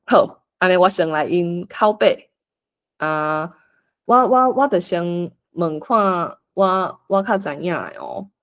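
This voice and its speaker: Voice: medium pitch, slow, highs cut off. A person with a Taiwanese accent explaining and organising a group script reading, thinking aloud in an informal way as the facilitator. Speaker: workshop facilitator.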